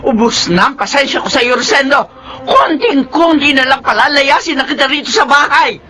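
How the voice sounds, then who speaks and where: A woman's voice: sits high, normal speed, sharp tone. An actress playing an exasperated, nagging middle-aged mother of grown sons in a Filipino radio drama. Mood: angry scolding. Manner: nagging, fretful.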